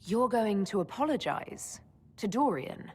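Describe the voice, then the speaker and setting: A woman playing a composed, diplomatic, gently wry leader in a fantasy video game, calm and direct in speech. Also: mid-range voice, unhurried, slightly rough, long reverb tail. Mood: calm, curious.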